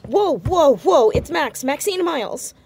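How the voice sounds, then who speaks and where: A woman's voice: high voice, expressive, measured pace, darkish tone. A woman playing an anxious, determined teenage girl sleuth in an audio drama, speaking hesitantly but insistently. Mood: frightened, demanding. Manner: hesitant, stammering yet insistent. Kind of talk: naturalistic audio drama acting.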